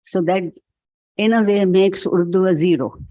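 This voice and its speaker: A man with a Pakistani accent giving a reflective keynote talk, speaking in a measured, halting, philosophical way. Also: high voice, warm tone, dry recording, muffled recording. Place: event hall.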